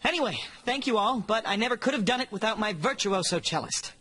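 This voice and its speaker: Affable young cartoon music teacher: animated intonation, upbeat, easygoing. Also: male voice, high voice, fast talker, slightly rough, full character voice. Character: friendly, easygoing young adult male piano teacher.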